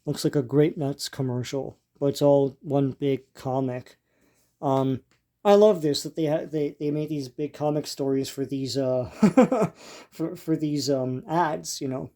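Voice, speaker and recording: An older man giving casual, unscripted show-and-tell commentary as a host, in a halting, musing and informal way. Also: medium pitch, steady tone, dark tone. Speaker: host leafing through vintage comic strips.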